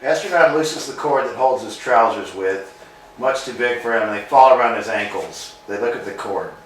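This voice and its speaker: A man reading the stage directions aloud as narrator of a play reading, plain and unhurried. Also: medium pitch, fairly bright tone, slight background noise. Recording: roomy room, mic far off.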